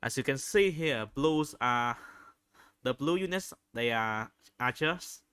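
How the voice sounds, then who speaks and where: A man giving unscripted video commentary, halting often as he searches for words and repeats phrases. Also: medium pitch, lively intonation, fairly bright tone, clean tone, no room sound, clean studio sound. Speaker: video host reviewing game screenshots.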